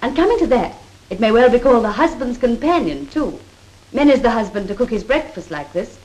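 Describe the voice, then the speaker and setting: Casting: actress as brisk British cookery demonstrator, high voice, clipped 1930s RP, scripted and instructive, on a worn old film soundtrack. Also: female voice, lively intonation, a little mellow, natural voice, rough recording. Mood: instructive, assured. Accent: 1930s British Received Pronunciation. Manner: precise, instructive, proper. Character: brisk, assured woman cookery demonstrator advising a housewife.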